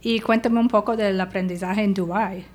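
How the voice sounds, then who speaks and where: A woman's voice: mid-range voice, fairly even intonation, a little fast. A woman hosting a podcast who interviews her guest with short, encouraging prompts and questions. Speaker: podcast host.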